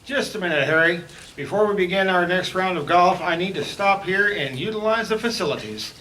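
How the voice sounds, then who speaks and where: A man's voice: sits high, brisk, sharp tone. A man acting as a hapless, earnest golfer in a spoof radio commercial, on a noisy live recording. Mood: casual.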